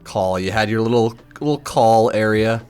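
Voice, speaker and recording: A man's voice: lowish voice, moderate pace, a little mellow, smooth. Tipsy guy streaming, blunt sweary rambling, repeats stuff, bit of music behind him. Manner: repeats himself for emphasis, tags lines with "right?". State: a bit drunk. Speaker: game streamer. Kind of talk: casual spoiler commentary from a livestream.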